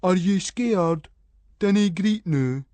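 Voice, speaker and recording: A man's voice: high-pitched, slow, dark tone, no echo, pitched above the performer's own voice. A Scottish man voicing a mocking, goading teenage boy bully in a storybook reading, with exaggerated taunts. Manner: exaggerated taunting, baby-talk mockery.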